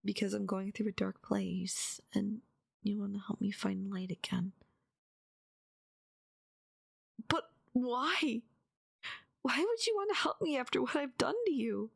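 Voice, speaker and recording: Actress as despairing yandere woman, creaky voice, dry close sound, halting half-whispers, pleading, intimate ASMR roleplay. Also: medium pitch, no echo. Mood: resigned, bewildered, then tearful, overwhelmed. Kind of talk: intimate ASMR-style dramatic audio roleplay.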